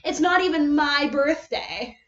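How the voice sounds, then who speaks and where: A woman voicing a wicked, mocking teenage boy villain with drawn-out, theatrical teasing in a dramatic story reading. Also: high-pitched, animated, a bit bright, reverberant, lo-fi sound, pitched above the performer's own voice. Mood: gleeful taunting. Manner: taunting, drawn-out teasing delivery.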